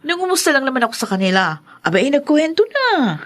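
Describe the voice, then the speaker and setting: A sassy young woman from a moneyed family in a radio drama. She is high-pitched and animated, with a brisk, teasing delivery. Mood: curious. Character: sassy young adult daughter of a rich family. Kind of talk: Tagalog radio drama acting.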